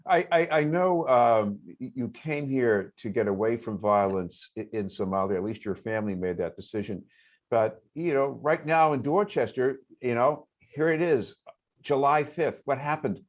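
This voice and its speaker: A man hosting a local news interview, introducing a guest and asking questions with halting repeats. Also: mid-range voice. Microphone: video call. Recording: dull lo-fi sound.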